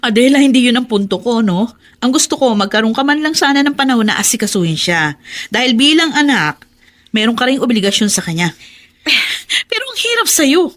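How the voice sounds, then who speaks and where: An actress playing a dutiful, reproachful elder sister in a radio drama, earnest and chiding in manner. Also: female voice, on the high side, sing-song, a little fast, a bit bright.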